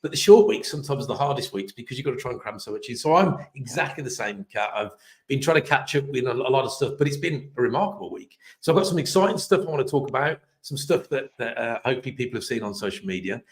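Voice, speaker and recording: A man hosting a live webcast, chatty and upbeat, rambling with restarts, his voice a little hoarse. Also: medium pitch, lively intonation, fast talker. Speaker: host.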